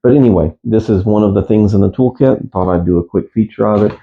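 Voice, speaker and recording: A man giving a casual, unscripted gear review as a radio hobbyist, speaking in a halting, matter-of-fact way. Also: on the low side, creaky, narrow, tinny audio. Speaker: amateur radio hobbyist presenter.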